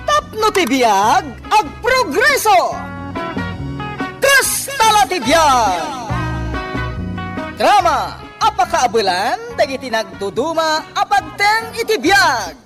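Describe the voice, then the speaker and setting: A woman delivers a theatrical radio drama introduction over prominent music. Her high voice moves slowly and emphatically, with big swings in pitch. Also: big pitch swings. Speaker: programme announcer.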